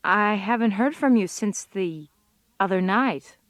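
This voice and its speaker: A woman's voice: mid-range voice, measured pace, no echo, studio quality, natural voice. An actress playing a sardonic, exasperated female office computer worker in a comic radio drama, given to wry muttered asides. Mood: reproachful.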